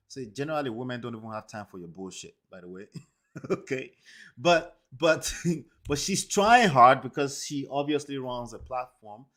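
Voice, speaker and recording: A man's voice: medium pitch, fairly bright tone. A man hosting a live stream, talking emphatically to his viewers and often checking in with "okay?" and "right?". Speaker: livestream host. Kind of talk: casual commentary to a live chat.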